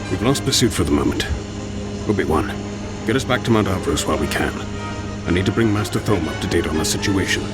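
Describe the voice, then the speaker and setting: A calm Jedi master acted by a man, lowish in pitch and commanding. The delivery is measured and matter-of-fact, with loud music behind it. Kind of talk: dramatic acting in comic dub.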